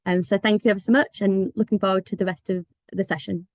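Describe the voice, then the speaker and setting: A woman's voice: medium pitch, steady tone, fast, a little mellow, dry recording. Woman losing her voice, dry sound. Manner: informal, with many ums and restarts. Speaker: senior advisor at a partner organization. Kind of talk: welcome remarks at an online meeting.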